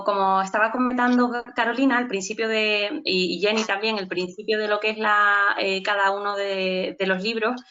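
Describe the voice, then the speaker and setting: A woman with a crisp, bright Spanish leads an online panel in a loose, chatty way, often restarting her sentences. Her voice comes through muffled, dull video-call audio. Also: mid-range voice. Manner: chatty, with many restarts and fillers.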